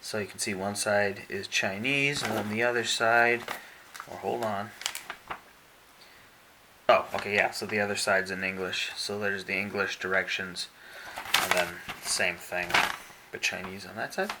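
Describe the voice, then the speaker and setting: A man giving casual unboxing narration as a product reviewer, explaining things in a measured, step-by-step way. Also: on the low side, steady tone. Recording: faint background hiss.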